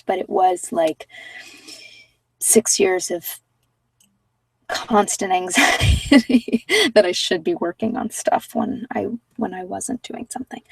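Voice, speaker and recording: A woman speaks softly in a casual livestream chat, often dropping toward a whisper, rambling reflectively and trailing off mid-thought. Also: on the high side, gravelly, no room sound, recorded from a distance, poor audio. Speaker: ASMR meditation host.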